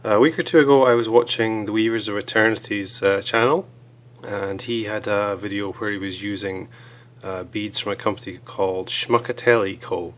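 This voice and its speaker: Man, hoarse, slightly low voice, relaxed chatty reviewer, frequent fillers. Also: moderate pace. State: hoarse from a cold. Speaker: knife and gear reviewer. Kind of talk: casual product review.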